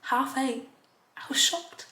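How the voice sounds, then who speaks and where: A woman, chatting in a casual vlog while doing her makeup. She rambles with lots of fillers and gets animated when she talks about something that surprised her. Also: slow pace, reverberant, a little hiss or hum. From Leeds, northern England. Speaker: vlogger.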